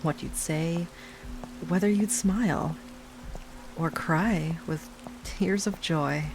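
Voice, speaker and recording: A woman playing an obsessive, lovesick stalker ex-girlfriend in an intimate audio roleplay monologue, sweet yet menacing, with a breathy delivery that drifts into whispers. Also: fairly low pitch, slow pace, a little mellow, poor audio. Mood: sweetly menacing. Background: rain and music.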